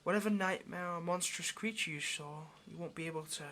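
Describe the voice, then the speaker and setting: A man's voice: on the high side, brisk, pushed and bright, slight background noise. A man playing a lonely, clingy yandere demon in an intimate audio roleplay, speaking tenderly and hesitantly straight to the listener with obsessive devotion. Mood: soft, tender.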